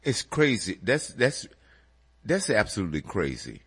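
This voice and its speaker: A man's voice: on the low side, huge pitch swings, measured pace, a bit bright, slightly rough. A man hosting a casual music radio show, a bit congested and nasal, talking animatedly to his co-host and to listeners he calls folks.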